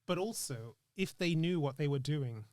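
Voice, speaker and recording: Man, interview guest, slightly high voice, very dry recording, earnest, thinking aloud, casual. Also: lively intonation, moderate pace, a little mellow, no room sound. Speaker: interview guest, actor and screenwriter. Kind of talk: casual reflective interview chat.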